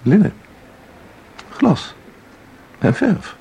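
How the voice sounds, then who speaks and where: A man's voice: drawn-out words, dark tone, a little hiss or hum. An actor playing an intense, mysterious man in a radio drama, speaking with insistent, theatrical deliberation. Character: intense, mysterious man who claims to enter paintings. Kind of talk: Dutch radio drama acting.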